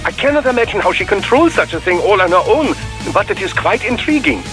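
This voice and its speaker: An actor playing an eccentric, fussy scientist in a video game, with a precise, formal, fascinated way of explaining. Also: male voice, high-pitched, very animated, fairly quick, music in the background. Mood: fascinated, admiring. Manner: precise, formal, admiring lecture. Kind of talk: video game radio dialogue acting.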